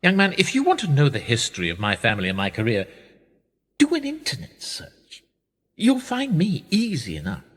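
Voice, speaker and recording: An actor playing an urbane, condescending older aristocratic power broker in a radio play. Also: male voice, fairly high pitch, huge pitch swings, moderate pace, a bit bright, husky, full character voice. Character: smooth, patronising older British aristocratic power broker, knighted. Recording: long reverberant hall echo.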